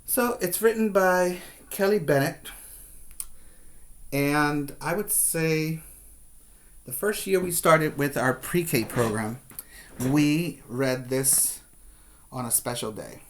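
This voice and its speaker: Slow-paced man reading aloud to children. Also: on the high side, slight background noise. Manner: expressive, emphatic storytelling for young children. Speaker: preschool teacher. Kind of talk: children's read-aloud with asides.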